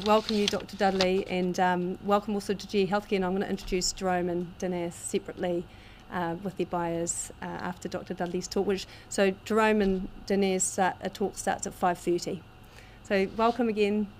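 A woman introducing a guest speaker in a halting way with many fillers, in a meeting room. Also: medium pitch, steady tone, a little hiss or hum. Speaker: event host introducing the speaker. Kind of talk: spoken introduction.

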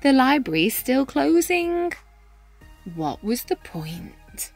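A woman reading a children's picture book aloud in an expressive, animated manner with dramatic pauses and emphasis. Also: sits high, gravelly, faint music behind. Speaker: read-aloud storyteller, teacher-style reader.

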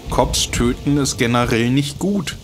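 A man's voice: mid-range voice, a little slow, faint music behind. A man giving casual, dry, joking commentary as he plays a video game. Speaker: let's player commenting on gameplay.

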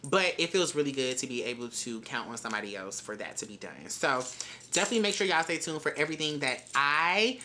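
A woman, chatting to camera in a casual vlog, animated and profane with playful shouts. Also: extremely low pitch, quick pace, a little hiss or hum. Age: 20s. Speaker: vlogger. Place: bedroom closet with shelves of bags and shoes, indoors.

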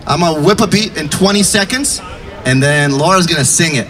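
A man's voice: on the high side, lively intonation. A man from Lithuania, a street musician, chatting cheerfully with passersby on a busy outdoor shopping street. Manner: upbeat, quick, friendly. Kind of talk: casual chat with passersby. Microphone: distant camera mic. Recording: far from mic, loud crowd and street noise.

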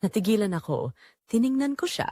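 A woman's voice: medium pitch, sing-song, brisk, dark tone, dry recording, clean studio sound. A woman with a Filipino accent narrating a scripted first-person drama as an earnest young caregiver confessing her inner turmoil.

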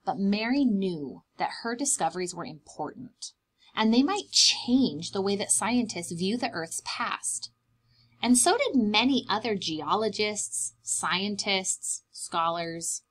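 Young woman, preschool story-time reader. Very lively, swooping intonation, unhurried delivery, simple child-directed explanations. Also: medium pitch, husky. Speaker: preschool teacher reading aloud to children. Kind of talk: expressive children's picture-book read-aloud.

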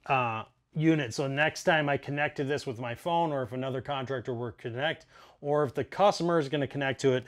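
A man in his forties, a plumber, casually talking through an installation step by step in a basement utility room. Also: on the high side. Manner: practical, walks through steps out loud. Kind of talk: casual how-to demonstration.

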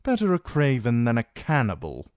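A man's voice: mid-range voice, wildly swooping pitch, moderate pace, dry recording, studio quality, pitched above the performer's own voice. A man voicing a weary, pragmatic young knight who argues with sardonic reasoning.

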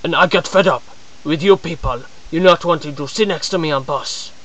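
A performer voicing an indignant adult man who rants straight at the viewer, padding his complaints with "man" and "I mean" and rising into high, shouting exasperation. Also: moderate pace, natural voice, rough recording. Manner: aggrieved rant, frequent "man" and "I mean" fillers. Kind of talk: comedic character monologue to camera.

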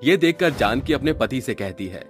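A man's voice: medium pitch, animated, moderate pace. Male storyteller over background music. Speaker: narrator. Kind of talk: scripted story narration.

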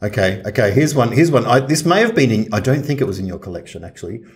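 A man's voice: medium pitch, very animated, quick pace. A man talking casually as a podcast co-host. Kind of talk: casual chat.

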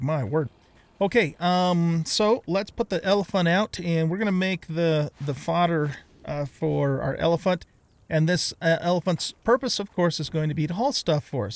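Older American male gaming host, somewhat higher-pitched voice, folksy and easygoing, casual let's play narration. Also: dry recording. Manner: folksy, easygoing, thinks aloud.

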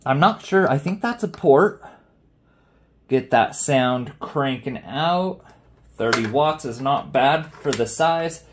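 A man's voice: medium pitch, expressive, slow. A man giving casual, slangy, off-the-cuff commentary as he reviews a product. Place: small indoor room with shelves of speakers.

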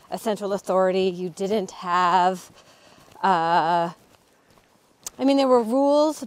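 A middle-aged woman hosting a casual walking talk outdoors, explaining history with frequent ums. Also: mid-range voice. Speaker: author and channel host. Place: outdoors on a cold hillside.

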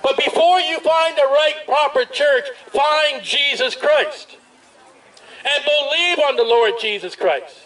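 High-voiced street preacher guy, amped up outdoors, heard from kinda far off. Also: some chatter behind. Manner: loud, emphatic, drawn-out hammering phrases. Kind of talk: amplified open-air preaching. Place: outdoors at a busy street festival. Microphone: amplified through a portable speaker. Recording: distant outdoor recording with ambient noise.

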